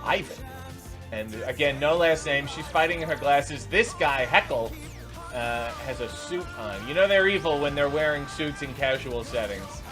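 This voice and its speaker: Man, fairly high voice, sarcastic reaction host, exasperated off-the-cuff commentary, clear music playing behind him. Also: lively intonation, normal speed. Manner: exasperated, sarcastic, incredulous riffing. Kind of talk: unscripted running commentary reacting to video clips.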